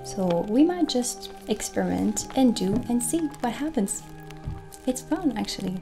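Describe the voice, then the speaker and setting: Soft asmr lady as a kindly witch, real slow and soothing, bit of vocal fry. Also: a little high, animated. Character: kindly witch shopkeeper giving gentle guidance. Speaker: ASMR roleplay performer. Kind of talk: soft-spoken ASMR roleplay. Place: small candlelit indoor set. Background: soft background music.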